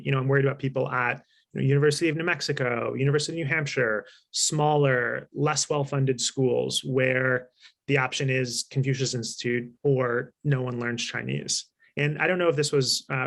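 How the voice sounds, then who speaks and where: Guy guest on an online talk, creaky voice, explains his views carefully and articulately. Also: medium pitch, a bit bright. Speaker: author and consultant speaking as guest. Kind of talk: explanatory interview answers at a virtual talk.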